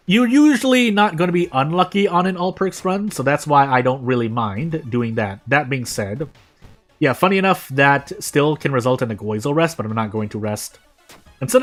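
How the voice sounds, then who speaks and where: An American man streaming a game, thinking aloud in casual commentary with frequent restarts and sudden jumps in pitch. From United States. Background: faint game music.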